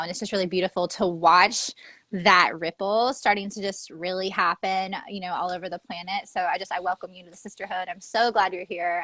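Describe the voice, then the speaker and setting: Soft-spoken woman hosting, dry sound. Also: medium pitch, dry recording, low-quality recording. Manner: warm, affirming, soft-spoken host. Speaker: host. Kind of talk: casual interview chat.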